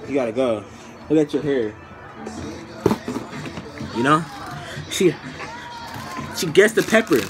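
Animated young man telling a story. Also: fairly high pitch, takes it slowly, long pauses, over music, a crowd in the background, poor audio. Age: young adult. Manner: animated, slangy, acting out the scenes. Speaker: storytime vlogger. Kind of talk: casual comedic storytelling to camera. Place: small room indoors.

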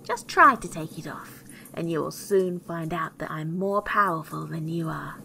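A woman's voice: low voice, animated, moderate pace, gravelly, bright, forceful tone, a little hiss or hum, heavily acted, low-quality recording. A woman voicing a small, ugly, jealous male dwarf in a storybook, defiant and haughty. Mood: defiant, enraged.

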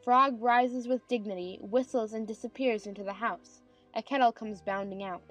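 A woman's voice: fairly high pitch, steady tone, normal speed, fairly bright tone, faint music behind, rough recording. A woman narrator reading stage directions in a scripted dramatized audiobook.

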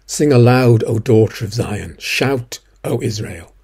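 A man reading scripture aloud in a measured, declarative manner. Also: mid-range voice, expressive, darkish tone, slightly rough. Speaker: scripture reader.

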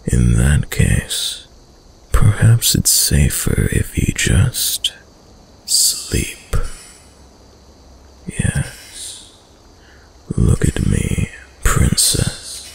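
A man playing a dominant, seductive villain prince who uses dark magic, slow and silky in an intimate audio roleplay, often dropping to a whisper. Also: huge pitch swings, a little hiss or hum, full character voice. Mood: soothing, hypnotic. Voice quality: very deep, gravelly, breathy, often whispering. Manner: slow, commanding, silky, lingering pauses.

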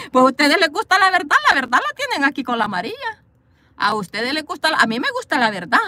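A woman's voice: high-pitched, big pitch swings, moderate pace, long pauses, sharp tone. A woman vlogging from a parked car into her phone, bluntly scolding and gossiping as she reads live comments. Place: parked car, outdoors view. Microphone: phone camera.

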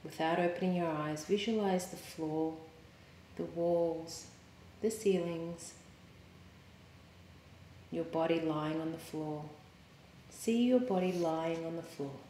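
Low, mellow woman guiding meditation, roomy echo. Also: a little hiss or hum. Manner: calm, soothing, measured with pauses. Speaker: yoga teacher. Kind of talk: guided meditation. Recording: roomy, echoing sound.

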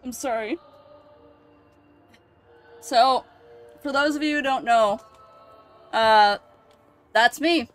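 A woman streamer reacting emotionally on a livestream, choked up, halting and grateful. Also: a little high, faint music behind. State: choked up, tearful.

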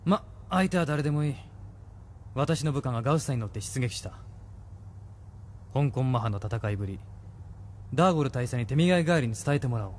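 A man's voice: mid-range voice, animated, a little fast, long pauses, darkish tone, a little hiss or hum, close to an everyday voice. A man playing a smug, self-assured military major in an audio drama, speaking with condescending authority.

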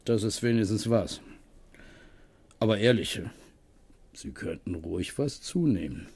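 A man acting a practical, fatherly go-between in a radio play, gentle and persuasive. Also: on the low side, animated, unhurried, husky, exaggerated voice.

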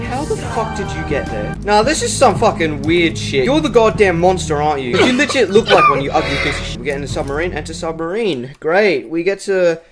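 A young Australian man commentates on a game with a lively, rather high voice, swearing and complaining freely. Loud music plays over him. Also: brisk, few pauses. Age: young adult. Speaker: gaming video host. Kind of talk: casual gameplay commentary. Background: loud game music, submarine rumble.

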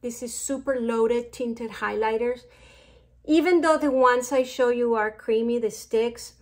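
A woman's voice: a little high, a little mellow. A middle-aged woman from Mexico with a Mexican accent, chatting casually as she reviews makeup products. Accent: Mexican Spanish. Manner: chatty, slow and unhurried with fillers and trailing asides. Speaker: beauty vlogger.

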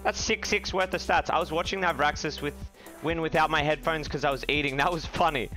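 A young man streaming a card game from a small room, swearing freely and reacting loudly to each play. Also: fairly high pitch, brisk, soft background music, low-quality recording. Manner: profane, excitable, shouting when upset. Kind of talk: live game stream commentary.

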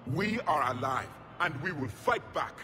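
An actor playing a proud ancient alien soldier in a video game, grave, measured and scornful. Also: male voice, fairly high pitch, animated, big-room sound, noisy background. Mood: rousing. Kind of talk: video game cutscene dialogue.

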